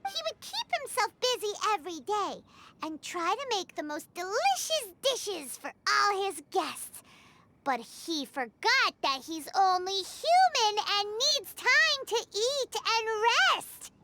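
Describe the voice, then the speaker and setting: A woman voicing a tiny, bubbly, bossy childlike fairy girl in an anime game, squeaky and excitable. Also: slow pace, noisy background, exaggerated voice. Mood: eager storytelling. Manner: excitable, exclamatory storytelling. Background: music.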